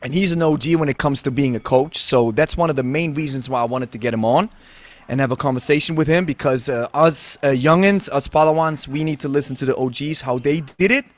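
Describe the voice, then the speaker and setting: A man hosting a podcast interview, speaking in a friendly, halting way with many pauses. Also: medium pitch. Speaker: podcast host.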